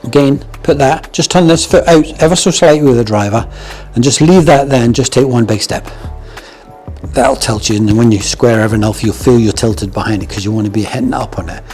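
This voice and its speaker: A man's voice: mid-range voice, a little fast, darkish tone, faint music behind. A middle-aged Scottish man coaching golf in a casual, blunt and jokey way, often checking in with "yeah?". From Scotland. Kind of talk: casual instructional talk.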